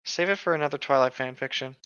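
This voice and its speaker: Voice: medium pitch, quick pace, a bit bright, smooth, no room sound, lo-fi sound. A co-host reading fan fiction aloud and chatting casually and jokingly about it.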